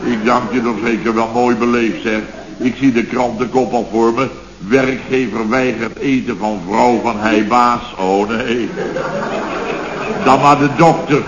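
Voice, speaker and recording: A man's voice: mid-range voice, steady tone, a little slow, crowd noise, close to an everyday voice. Man playing a blustering boss, roomy old recording. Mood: aggrieved. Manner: sarcastic, exasperated grumbling. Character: blustering, aggrieved male boss of a building firm. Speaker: actor in a radio comedy serial. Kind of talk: Dutch radio comedy acting, circa 1970. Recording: dull, noisy, roomy old radio recording.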